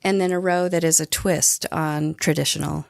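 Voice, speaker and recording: Lowish gravelly woman, bakery owner on a podcast, friendly and earnest, dry mic. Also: fairly even intonation, moderate pace, dry recording. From Saudi Arabia. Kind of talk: interview answers.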